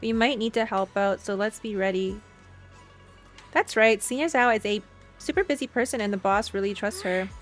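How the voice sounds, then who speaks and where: A woman with a middle-pitched voice streams a story game. She moves between casual reactions and reading game text aloud, with faint music behind her. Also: normal speed.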